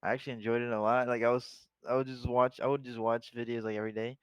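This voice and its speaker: A man's voice: medium pitch, level tone, fast, clear, resonant tone, no echo. A young man from Mexico with a Mexican Spanish accent, a guest chatting casually in an interview, laid-back with lots of "like" and laughter. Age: young adult. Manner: laid-back, laughs often, many fillers. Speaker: guest, esports player.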